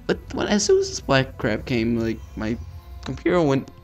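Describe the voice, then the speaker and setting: A man's voice: mid-range voice, wildly swooping pitch, moderate pace. Man reading a game aloud, music behind. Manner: stumbles over names, offhand swearing. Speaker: let's play host. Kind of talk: casual read-aloud of a visual novel with asides.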